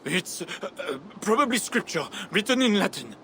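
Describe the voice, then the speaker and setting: A man's voice: high voice, big pitch swings, normal speed, gravelly, pushed and bright, slight background noise, full character voice. A man playing a nervous, frightened tavern keeper in a video game, shaky and hesitant.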